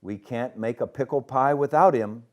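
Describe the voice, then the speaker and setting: A man's voice: mid-range voice, moderate pace, voice pushed up, close to an everyday voice. A man voicing eager young friends in a children's storybook, with simple, earnest delivery. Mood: worried.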